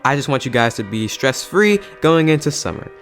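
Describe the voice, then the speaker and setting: A man's voice: medium pitch, animated, normal speed, soft background music. A young man hosting a casual video review in an enthusiastic, slangy, emphatic way. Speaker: YouTube host and film reviewer.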